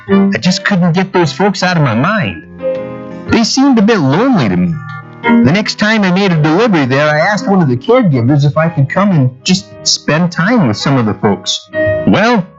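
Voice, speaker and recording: Animated male storyteller over music. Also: a little high, highs cut off. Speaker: narrator. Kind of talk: scripted storytelling narration.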